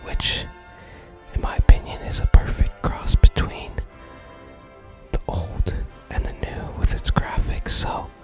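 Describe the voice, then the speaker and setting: A person whispering softly while hosting an ASMR video game playthrough and reading game text aloud. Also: slow. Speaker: host of a gaming ASMR channel. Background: game music.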